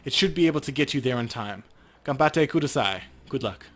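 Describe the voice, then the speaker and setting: A man voicing a courteous, concerned woman from a video game, in a measured, polite way. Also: mid-range voice, lively intonation, natural voice. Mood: calm concern.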